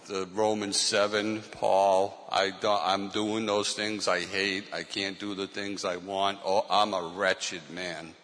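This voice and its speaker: Boston-accented male pastor, low and bright, earnest testimony, reverberant hall. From Boston, Massachusetts. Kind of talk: testimony in a panel talk.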